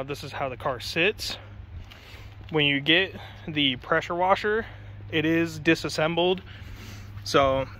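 A man's voice: a little high, slight background noise. A young man casually reviewing a pressure washer and foam cannon outdoors beside a car, chatty and swearing now and then, recorded on a handheld phone. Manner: casual, chatty, curses when excited. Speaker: car detailing vlogger.

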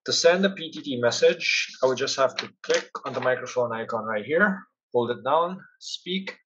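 A man with a slightly husky voice walks through a software demo. He explains things step by step in an instructional way at a medium pitch. The recording is dull and lo-fi. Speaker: product demonstrator. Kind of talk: software tutorial narration.

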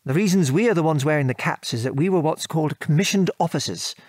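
Actor as British father reminiscing, slightly high, dry sound. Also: quick pace, no room sound, lightly acted. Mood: fond, amused. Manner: chuckling, patient, old-fashioned British turns of phrase. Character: affectionate father, former wartime RAF officer, reminiscing. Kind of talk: audio drama storytelling.

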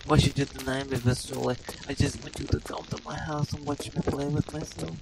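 A man's voice: fairly high pitch, level tone, fairly quick, heavy background noise. A man voicing a lewd, brazen woman adventurer in broken English, improvising over gameplay. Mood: pleading. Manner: breathy, leering, broken English.

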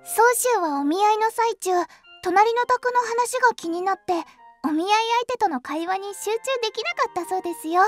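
A very high, girlish voice for a thirteen-year-old empress in an anime-style audio drama. She is sweet and dreamy, speaking with polite, excitable earnestness over faint music. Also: normal speed. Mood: dreamy, eager.

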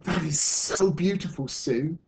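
A storyteller voicing excitable, wide-eyed children in a storybook read aloud. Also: mid-range voice, measured pace, a little hiss or hum, pitched above the performer's own voice, low-quality recording. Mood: amazed delight. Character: excitable, giggly children marvelling and doubting in a storybook.

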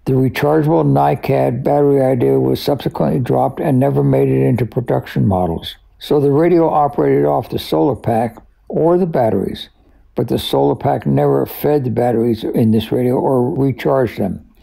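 Gravelly, sharp-voiced man telling tech history. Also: mid-range voice, normal speed, low-quality recording. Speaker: radio collector and expert guest. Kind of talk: conversational scripted narration of tech history.

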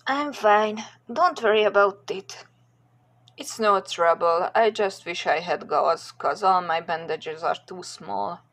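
Slow-talking woman dubbing a comic. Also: on the low side, a bit bright. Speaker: comic dubber voicing several characters. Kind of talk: amateur comic dub.

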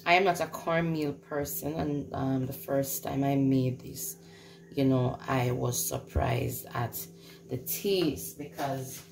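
A woman's voice: very deep voice, fairly even intonation, slow, a little mellow, reverberant. A woman hosting a casual home cooking demonstration, instructing and repeating phrases for emphasis. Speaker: home cook hosting a recipe video. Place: indoors in a home kitchen. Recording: clearly noisy home recording.

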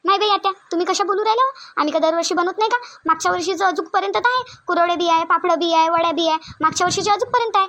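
A woman's voice: very high voice, racing, fairly bright tone. A woman with a Varhadi Marathi accent voicing a whiny, argumentative young village daughter-in-law in a family cartoon. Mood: indignant. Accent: Varhadi (Vidarbha) Marathi. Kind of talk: cartoon family comedy acting.

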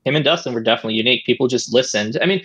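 A man in his thirties, an interview guest, telling old stories in a relaxed, rambling way, on a boom mic at home. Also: racing, slightly rough. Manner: relaxed, rambling with many "I mean" and "right?". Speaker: interview guest, former esports player. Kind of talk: casual storytelling. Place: home room, indoors. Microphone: studio mic on boom arm, headset.

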